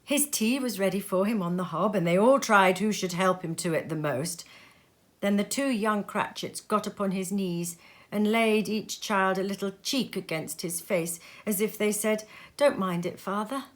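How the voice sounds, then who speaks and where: A woman narrating a storybook aloud with expressive, heartfelt delivery and a mid-pitched voice. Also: normal speed. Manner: expressive, feeling. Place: sitting room.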